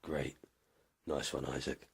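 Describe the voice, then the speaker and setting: A man playing a weary survivor in an audio drama, speaking in a subdued, halting, gravelly way. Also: very deep voice, little pitch movement, heavily acted. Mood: ill, weary. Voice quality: gravelly, husky. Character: weary male English survivor Isaac keeping an apocalypse journal. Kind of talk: audio drama first-person journal narration.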